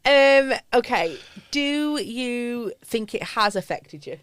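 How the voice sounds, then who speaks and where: A woman's voice: a little high, lively intonation, slow pace, no room sound. A woman, co-hosting a casual couple's podcast, teasing and asking questions. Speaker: podcast co-host.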